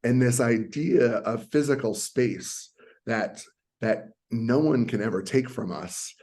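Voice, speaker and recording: A man speaking through a video call, his voice a little raspy from a long night. He explains things earnestly at a middling pitch, with frequent ums and restarts. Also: slow pace, darkish tone. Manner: earnest, many fillers and restarts. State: slightly raspy voice. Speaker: guest, community center leader. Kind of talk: virtual panel conversation. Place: conference hotel.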